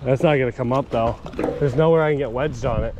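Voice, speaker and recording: A man's voice: medium pitch, fast talker, muffled recording, background noise. A man hosting a casual magnet fishing vlog, narrating his finds by a creek with an action camera. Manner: upbeat running commentary on finds. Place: outdoors by a creek under a bridge.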